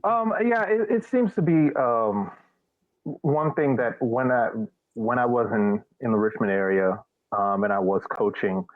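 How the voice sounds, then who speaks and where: A man giving casual sports analysis as a podcast panelist, halting and reflective. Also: medium pitch, animated, no room sound. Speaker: podcast panelist, former coach. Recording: thin phone-like audio, dry.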